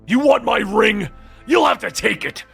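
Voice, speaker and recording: A man's voice: sits high, animated, measured pace, vocal fry, a bit bright, faint music behind, voice pushed up, full character voice. A man voicing a grim, defiant superhero in a curt manner for a comic-book story.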